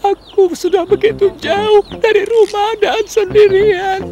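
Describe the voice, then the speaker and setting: A woman voicing a chatty, overconfident taxi car in a dubbed children's cartoon, speaking in a lively, expressive way. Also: very high-pitched, a little slow. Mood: worried. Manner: lively, expressive storytelling delivery. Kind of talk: cartoon dubbing. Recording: music playing underneath.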